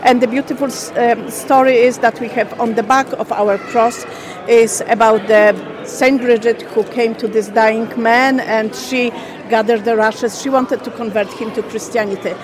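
A woman's voice: a little high, steady tone, moderate pace, a crowd in the background. A woman from Poland, a Polish native, telling the story of her traditional craft in a radio interview in careful, simple phrases. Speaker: craft business owner. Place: busy exhibition hall.

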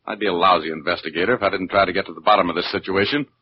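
An actor playing a brash, stubborn wisecracking private detective in a 1940s radio drama. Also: male voice, sits low, racing, breathy edge. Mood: insistent. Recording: muffled, noisy 1940s radio recording.

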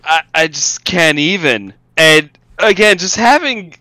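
A man co-hosting a casual anime review podcast, ranting in an excitable, exasperated way. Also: a little high, measured pace, dry recording. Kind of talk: casual review chat with mocking quotes.

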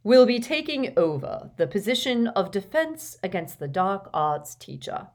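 A woman's voice: mid-range voice, animated. Elderly wizard headmaster for a storybook, woman performing: genial, commanding, airy and stately. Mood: stately, announcing. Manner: stately, airy, measured public address.

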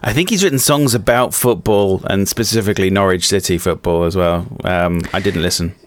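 A man hosting a podcast in casual chat, hesitant and quick to laugh. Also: deep voice, no echo. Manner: giggly, hesitant, laughs often. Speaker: podcast host.

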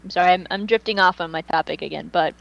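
A woman's voice: lowish voice, fast, creaky, fairly bright tone, lo-fi sound. Chatty, opinionated woman in casual talk. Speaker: fan podcast host. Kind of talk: casual fan chat.

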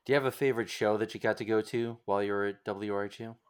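Low-voiced man, casual sympathetic interviewer. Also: fairly even intonation, rapid-fire, clean tone. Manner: sympathetic, hesitant, thoughtful phrasing. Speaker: interviewer, podcast host.

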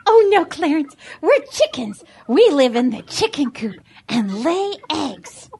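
A woman's voice: high voice, big pitch swings, measured pace, darkish tone, exaggerated voice. A woman voicing a sweet, earnest female farm chicken with a sing-song manner in a children's audio drama. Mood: gently instructive.

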